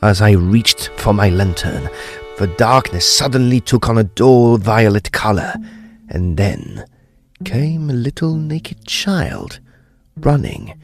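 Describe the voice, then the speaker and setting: A man's voice: on the low side, expressive, slow, faint music behind. An actor playing a grave, intrepid ghost hunter, narrating his own ghost story in a theatrical, suspenseful way. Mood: awed, tense. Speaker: actor narrating an audio drama. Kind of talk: dramatic first-person ghost story narration.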